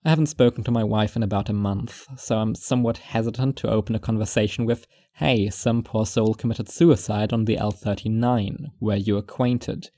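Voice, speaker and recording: Male actor as reclusive, paranoid first-person narrator for audio fiction. Low, dry close sound, musing and wry. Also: fairly even intonation, moderate pace, hardly any pauses, dark tone, no echo, lightly acted. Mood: uneasy musing.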